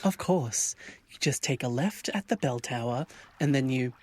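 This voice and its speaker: An actor playing a grown-up ugly duckling, a polite swan who speaks with measured restraint and soothes himself, in an audio drama. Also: male voice, mid-range voice, animated, a little mellow, close to an everyday voice. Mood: politely strained. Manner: measured, restrained, self-coaching. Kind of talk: audio fiction acting.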